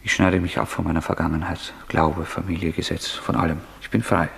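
A man's voice: low voice, little pitch movement, brisk, creaky, full character voice. An actor playing a brooding, feverishly resolved and unstable man, speaking intensely and introspectively in a German radio drama. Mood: feverish resolve. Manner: intense, introspective, swinging from hushed to agitated.

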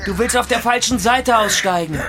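A man's voice: very high-pitched, moderate pace. A man playing a cheeky, slangy would-be revolutionary in a radio play. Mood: brash. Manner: slangy, brash. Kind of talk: radio drama acting. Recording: music behind the voice.

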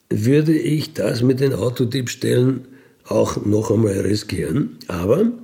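An actor playing a wistful, dying ex-robber in an intimate radio monologue, halting and musing as he trails into murmurs. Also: medium pitch, creaky, warm tone. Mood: dreamy, defiant. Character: wistful dying ex-robber dreaming of freedom in Siberia.

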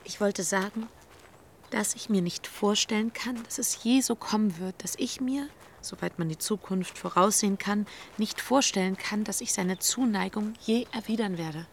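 A woman's voice: mid-range voice, normal speed, slight background noise, lightly acted. An actress playing a principled, reserved young woman in a German radio drama, speaking seriously and carefully, in formal phrases. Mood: firm. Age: young adult.

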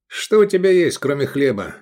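A man voicing a sensible, bossy gladiator in an audiobook, brisk and quick to correct his friends. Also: fairly high pitch, very animated, a little mellow, pitched above the performer's own voice. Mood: commanding.

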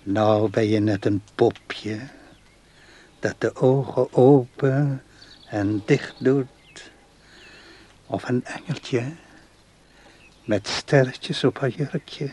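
A man's voice: mid-range voice, very slow, pauses often, warm tone. An actor in a radio play playing a simple, doting, earnest man who is easily worked up and insistent. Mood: tender, hushed.

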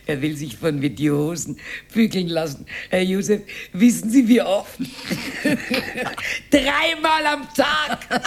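A woman's voice: mid-range voice, husky, heavily acted. An actress playing a talkative older Austrian working-class widow who confides her memories in a radio drama, rambling and dropping into whispers. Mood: amused, coy. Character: talkative old working-class widow reminiscing about her hard wartime life. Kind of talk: radio drama monologue, naturalistic acting.

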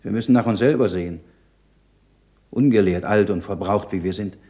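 Male actor as learned monk, deep mellow tone, earnest, rhetorical, solemn, radio drama. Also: natural voice. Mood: sorrowful. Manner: measured, solemn, rhetorical monologue. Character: earnest, troubled learned monk of peasant birth. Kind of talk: German radio play acting.

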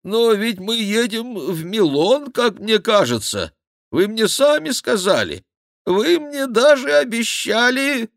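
A man's voice: sits high, sing-song, slow, creaky, fairly bright tone, no room sound, studio quality, voice pushed up, full character voice. A man voicing a panicky, whining monk in an audiobook, crying out plaintively and full of grievance. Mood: indignant, puzzled. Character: panicky, whining monk, clumsy rider, aggrieved and fearful. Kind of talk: audiobook character voice.

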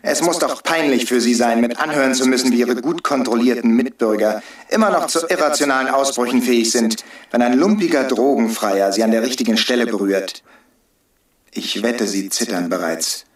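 A defiant agitator played by a man in a radio play, heard at some distance in a roomy space. His voice sits at a middle pitch and he declaims bitterly, needling his listeners like someone who holds them captive. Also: moderate pace, lightly acted. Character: defiant drug-free hostage-taker, bitter, taunting, preaching agitator. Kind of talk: radio drama acting.

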